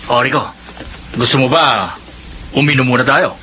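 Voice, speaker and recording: An actor playing a pushy, persuasive gambling buddy in a radio drama, goading and cajoling. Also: male voice, medium pitch, moderate pace, bright, forceful tone, natural voice. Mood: breezy, pressing. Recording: dull, faintly noisy radio recording.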